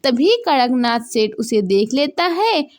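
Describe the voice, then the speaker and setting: A woman's voice: on the high side, expressive, unhurried. A woman narrating a scripted children's story.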